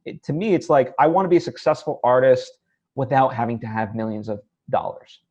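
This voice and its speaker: Male interview host, average pitch, earnest and halting in casual conversation, slightly dull recording. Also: animated, darkish tone, slightly rough.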